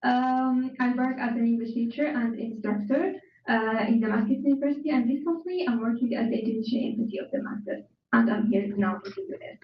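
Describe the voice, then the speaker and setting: A woman's voice: on the high side, fairly quick, a little hiss or hum. A woman from Syria, an English teacher, introducing herself on a panel in a formal way. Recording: distant echoey room, dull.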